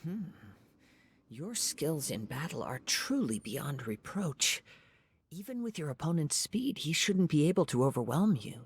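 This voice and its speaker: A man voicing a soft-spoken, contemplative young swordsman in a video game, formal and polite in his measured phrasing. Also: on the high side, big pitch swings, slightly rough, heavily acted. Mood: calm, admiring. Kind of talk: scripted video game character dialogue. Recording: background music.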